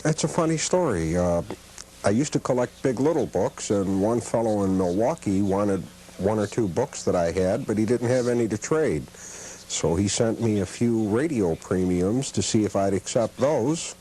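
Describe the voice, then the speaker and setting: A middle-aged man giving halting, measured answers as an interview guest in his own home. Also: on the low side, expressive, dark tone, breathy edge, poor audio. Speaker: guest, radio memorabilia collector. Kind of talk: casual interview recollection.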